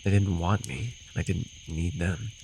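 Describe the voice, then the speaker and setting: A man playing a gruff but caring, easily flustered fantasy forest guide in an immersive ASMR roleplay, speaking hesitantly and soothingly. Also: deep voice, normal speed, darkish tone, slight background noise, low-quality recording. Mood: wistful, musing. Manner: hesitant, soothing, sighing, teasingly defensive.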